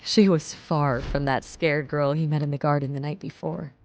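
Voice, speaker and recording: A woman's voice: low-pitched, fairly even intonation, moderate pace, darkish tone. A woman giving dramatic scripted narration of a romance story.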